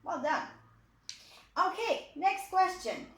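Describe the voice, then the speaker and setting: A woman in her twenties from Poland, teaching English to young children in a video lesson, repeating each phrase slowly for them to copy. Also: extremely high pitch, expressive, a bit bright, roomy sound. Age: 20s. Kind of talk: slow, clearly spoken video lesson for kids.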